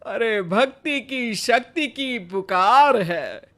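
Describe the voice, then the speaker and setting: A woman playing a bossy, short-tempered, gluttonous old mother-in-law in a comic animated Hindi story, scolding theatrically. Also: fairly high pitch, extremely slow, full character voice. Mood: devout, bossy.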